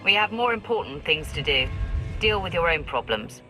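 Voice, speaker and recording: A woman playing a cold, ruthless dark warrior in a video game, speaking in a curt, commanding manner. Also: on the low side, sing-song, moderate pace, over music. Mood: cold, threatening. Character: ruthless, cold Sith warrior, self-reliant. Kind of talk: dramatic video game acting.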